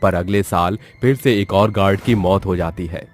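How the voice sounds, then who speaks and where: A man's voice: low-pitched, normal speed, soft background music. A man narrating a scripted horror story in a dramatic storytelling style.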